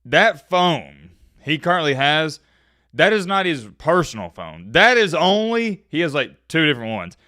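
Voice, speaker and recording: Animated man with a bright, crisp voice. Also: a little high, a little slow, no room sound, clean studio sound. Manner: animated, slangy, opinionated. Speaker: reaction video host. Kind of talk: casual reaction commentary.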